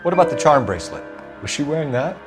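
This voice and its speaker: An actor playing a stern young American police detective in a video game, questioning in a clipped, formal, accusatory way. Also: male voice, a little high, very animated, fast, over music. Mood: probing, formal. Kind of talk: acted dramatic video game dialogue.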